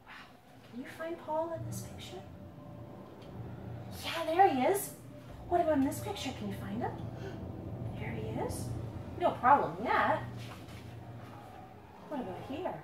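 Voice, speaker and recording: A woman with a high voice reads a children's story aloud in an animated, swooping way. She is playful and dramatic, stretching words and putting questions to a young listener. The recording is roomy and distant, with some faint noise around her. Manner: dramatic build-ups with drawn-out words. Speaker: children's author reading her own picture book.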